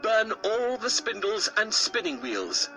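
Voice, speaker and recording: A man's voice: high-pitched, huge pitch swings, takes it slowly, pushed and bright, faint music behind, full character voice. A man voicing a commanding king who gives stern orders with an authoritative manner.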